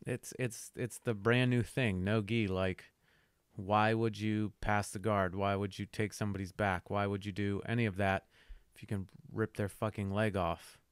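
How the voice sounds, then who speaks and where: A man's voice: fairly low pitch, little pitch movement, moderate pace, smooth, no echo. A man co-hosting a podcast in casual chat, swearing casually and teasing, recorded on a close mic. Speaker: podcast co-host.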